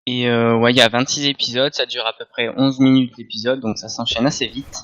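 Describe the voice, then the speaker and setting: A man's voice: medium pitch, little pitch movement, a bit bright. Slightly muffled, hesitant Frenchman on a podcast. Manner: hesitant, halting delivery. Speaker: podcast panelist. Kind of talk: casual group discussion.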